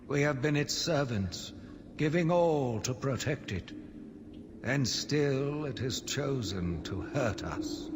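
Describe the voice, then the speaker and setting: An actor playing an embittered, zealous asylum doctor who gives a solemn, theatrical monologue for a video game audio log. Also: male voice, fairly high pitch. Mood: solemn, brooding. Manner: solemn, measured, brooding, theatrical. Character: embittered male asylum doctor, grief-stricken, zealous, slowly turning vengeful. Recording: long reverberant echo, loud background noise.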